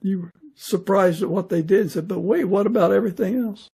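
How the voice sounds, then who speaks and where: An older man hosting a casual interview, speaking in a halting, reflective way. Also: high voice, gravelly, warm tone, breathy edge. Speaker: podcast interviewer.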